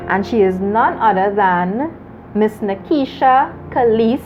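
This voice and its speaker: Earnest slow-talking woman host. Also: mid-range voice, animated, lo-fi sound, faint music behind. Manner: measured, earnest, laughs often. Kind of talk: talk show hosting.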